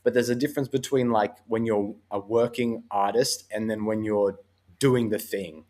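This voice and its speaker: A man's voice: fairly low pitch, steady tone, normal speed, darkish tone. A man hosting a podcast, talking in a laid-back, agreeable and thoughtful way in casual conversation. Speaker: podcast host.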